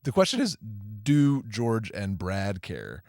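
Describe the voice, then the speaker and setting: Low-voiced man, casual podcaster. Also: wildly swooping pitch, takes it slowly, a little mellow, clear, resonant tone, no room sound, studio quality. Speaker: podcast co-host. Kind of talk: casual movie industry chat.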